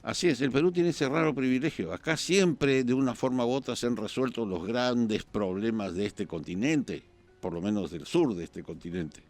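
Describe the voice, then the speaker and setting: Un periodista peruano, invitado en un programa de debate político, que habla pausado y piensa en voz alta entre muletillas. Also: male voice, medium pitch, darkish tone, faint music behind. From Peru. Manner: measured, thinking aloud with pauses and fillers. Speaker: journalist guest. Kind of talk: political talk-show discussion.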